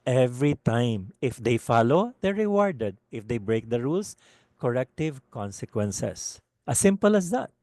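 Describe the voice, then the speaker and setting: Low-voiced Filipino man teaching teachers. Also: unhurried, no echo. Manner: animated, repeats "okay?" often. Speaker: teacher trainer. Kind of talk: webinar lecture for teachers.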